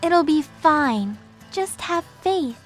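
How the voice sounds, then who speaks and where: A coy, breezy young sorceress voiced by a woman for an audio drama. Her voice is very high and she takes her time over words. Music sits faintly behind her. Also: lively intonation, slow. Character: playful, stubborn young woman with magic powers.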